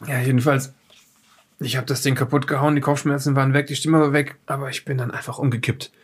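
A man playing a shaken, wary doctor held in custody in an audio drama, speaking haltingly with uneasy insistence. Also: mid-range voice, level tone, slightly rough, natural voice. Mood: shaken, weary. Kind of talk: improvised audio drama dialogue.

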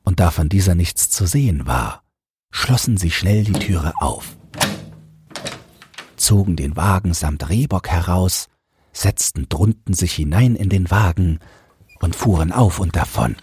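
A man's voice: animated, unhurried, darkish tone, a little hiss or hum. A man narrating a fairy tale from a script, as the storyteller of an audio drama. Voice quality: deep, very husky.

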